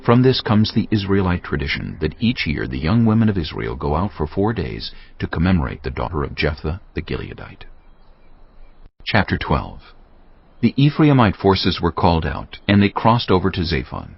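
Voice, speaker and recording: Very deep, gravelly male scripture narrator. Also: sing-song, moderate pace, dull audio.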